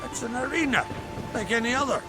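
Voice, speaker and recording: An actor playing a blunt, coarse, excitable male villager in a video game, with a rough, emphatic way of talking. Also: very high voice, brisk, loud music behind the voice. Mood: brusque. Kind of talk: video game cutscene acting.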